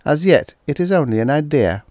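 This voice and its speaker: A man's voice: fairly high pitch, animated, brisk, darkish tone, no room sound, pitched above the performer's own voice, close to an everyday voice. A man doing a prim little girl, Clarissa. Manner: sing-song chanting, precise formal phrasing. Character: prim eight-year-old girl, eerily knowing.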